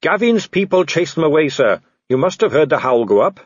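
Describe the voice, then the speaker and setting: A man's voice: on the high side, very animated, brisk, no room sound, dull audio, pitched above the performer's own voice. A man voicing a cheerful, earnest young watch captain who is polite and deferential, in an audiobook. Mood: earnest, reassuring. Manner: chipper, deferential, matter-of-fact. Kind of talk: audiobook character acting.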